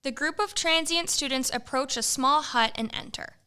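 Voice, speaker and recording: A woman's voice: high voice, normal speed, pushed and bright. A woman narrating an amateur audio drama, reading stage directions in a matter-of-fact way.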